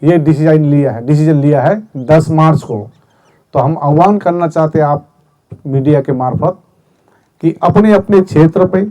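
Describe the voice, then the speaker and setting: A man's voice: fairly high pitch, dark tone, rough recording. A man speaking as a movement spokesman at a press conference, earnestly setting out decisions one by one. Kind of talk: press statement.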